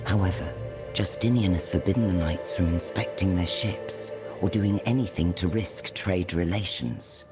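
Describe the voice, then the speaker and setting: An actor playing a stern, formal and guarded city guard commander in a video game, speaking in a measured way. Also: male voice, deep voice, close to an everyday voice.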